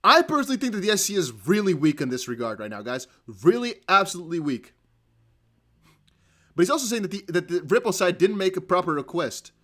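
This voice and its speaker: Male streamer with a Dutch accent, slightly high voice, excitable live commentary, laughs often. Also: quick pace. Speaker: crypto news streamer. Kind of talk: live commentary on a court hearing.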